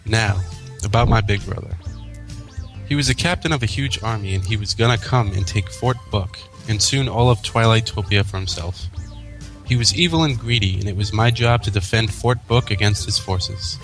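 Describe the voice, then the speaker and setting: A man with a fairly low voice reads a story aloud as co-host of a fan fiction show. Soft music plays behind his narration. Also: normal speed, poor audio. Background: faint music.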